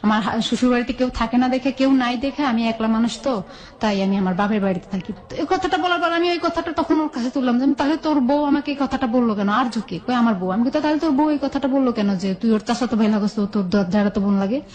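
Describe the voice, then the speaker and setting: A woman's voice: a little high, fast talker, a little mellow. A woman with a Bangladeshi accent telling her own life story in a colloquial, conversational way, often quoting dialogue back and forth.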